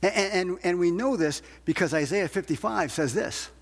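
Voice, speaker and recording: A male preacher with a fairly high, husky voice delivers an evangelical sermon. He hammers points home through repetition and rhetorical questions. His words ring out with long hall reverb. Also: moderate pace. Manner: emphatic, repeats phrases with rhetorical questions.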